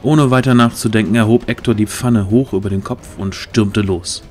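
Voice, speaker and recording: A man narrates a fantasy audio play in a fairly low voice. It is scripted storytelling, with faint music running underneath. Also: steady tone, moderate pace, a little mellow.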